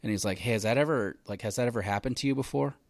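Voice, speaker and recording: A man in his thirties hosting a podcast, telling stories in a relaxed chatty way full of "like" and "man". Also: mid-range voice, racing, gravelly, no room sound. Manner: relaxed storytelling, lots of fillers like "like" and "man". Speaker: podcast host. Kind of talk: casual conversational interview.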